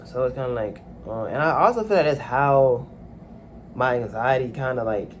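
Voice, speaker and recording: A young man speaks into a phone in a quiet room at home, his voice neither high nor low. He talks haltingly, trailing off and filling gaps with ums. A faint hiss sits under the recording. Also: expressive. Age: young adult. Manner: soft-spoken, hesitant, many fillers. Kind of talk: casual personal monologue.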